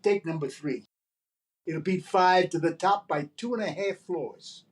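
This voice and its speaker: A man's voice: high-pitched, a little slow. An actor playing a furtive, laconic male racetrack tout who talks in clipped, confiding phrases in a radio comedy. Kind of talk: 1940s radio comedy acting.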